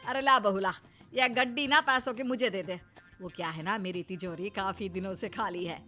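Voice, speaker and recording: A woman playing a greedy, scheming older mother-in-law in an animated moral-story cartoon, nagging and taunting, with music behind her. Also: medium pitch, close to an everyday voice. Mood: wheedling. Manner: nagging, taunting, drawn-out jibes.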